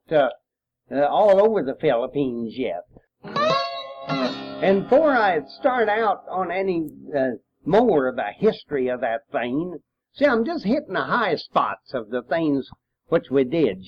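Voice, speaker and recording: A man's voice: fairly high pitch, dull audio. An older man telling war memories informally, in a folksy, rambling way with long hesitations. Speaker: war veteran recounting memories. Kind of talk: informal spoken reminiscence.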